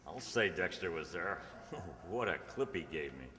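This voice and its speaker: A man's voice: sits low, expressive, pushed and bright. A man playing an awkward, smitten suitor in a radio romantic comedy, speaking hesitantly. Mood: sheepish. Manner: halting, hesitant. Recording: echoey hall stage recording.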